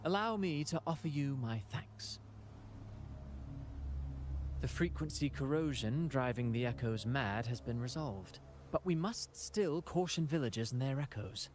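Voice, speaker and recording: An actor playing a courteous, measured man of authority in a video game, speaking formally. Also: medium pitch, lively intonation, music in the background, close to an everyday voice. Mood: grave, grateful. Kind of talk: video game dialogue acting.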